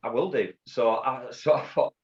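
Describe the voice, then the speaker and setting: A middle-aged man with a Yorkshire accent, telling his story as an interview guest in a halting way, with many restarts and repeated words. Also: mid-range voice, steady tone, a little mellow. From Bradford, England. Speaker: guest, former undercover police officer. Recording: dull lo-fi audio.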